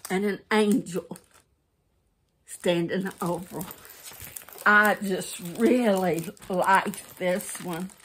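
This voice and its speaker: A woman's voice: mid-range voice, slightly rough. A middle-aged woman chatting casually at home while showing a craft kit, rambling and correcting herself as she goes. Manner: slow, rambling, self-correcting. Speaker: crafting vlogger. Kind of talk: casual show-and-tell chat. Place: home, seated indoors. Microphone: phone camera pointed down at her lap.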